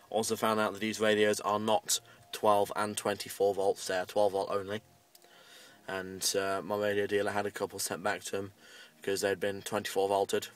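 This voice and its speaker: A British man with a fairly low voice talks casually in a parked car. His delivery is very flat and rambles along in a matter-of-fact way. The microphone sits a little away from him. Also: far from the mic. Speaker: amateur radio hobbyist making a video update. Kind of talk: casual vlog update.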